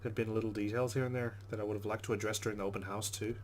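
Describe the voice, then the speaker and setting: A man's voice: lowish voice, flat delivery, very fast, slight background noise. A man hosting a let's play, giving casual game commentary and reading the game's text aloud.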